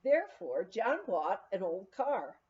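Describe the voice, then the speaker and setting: A woman reading a memoir aloud as scripted narration, in an expressive storytelling manner. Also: medium pitch, slow pace, dull audio. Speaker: audiobook reader.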